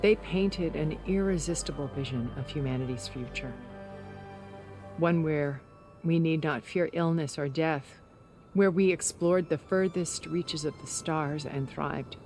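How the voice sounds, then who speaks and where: A cultured, secretive woman from a video game drama. Her voice has a gravelly creak and she speaks with measured, articulate deliberation, composed throughout. Music plays clearly behind her. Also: deep voice, darkish tone. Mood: measured, confiding. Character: composed, secretive, cultured older woman, former intelligence broker.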